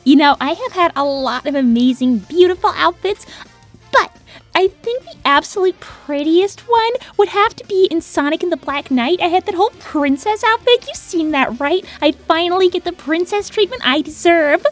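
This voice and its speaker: Peppy dramatic cartoon hedgehog girl, super high n all over the place, bit jealous. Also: lively intonation, moderate pace, faint music behind. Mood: proud. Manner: peppy, girlish, dramatic, sarcastic when put out. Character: excitable, easily jealous young female cartoon hedgehog. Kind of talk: animated character Q&A, fan dub.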